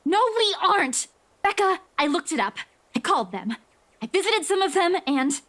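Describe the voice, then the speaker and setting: A very high-voiced young woman, stubborn and desperate, pleads her case in a visual novel, played by an actress. She pushes on insistently. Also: very animated, moderate pace, fairly bright tone, heavily acted.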